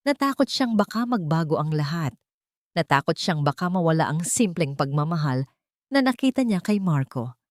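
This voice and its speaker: A woman's voice: low-pitched, big pitch swings, moderate pace, no echo, clean studio sound. A woman narrating a scripted romantic drama story in a storytelling style.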